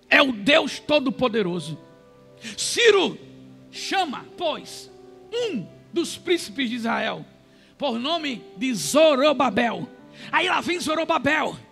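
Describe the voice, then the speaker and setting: A man preaching a sermon, retelling scripture and shouting fervently. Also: very high voice, creaky.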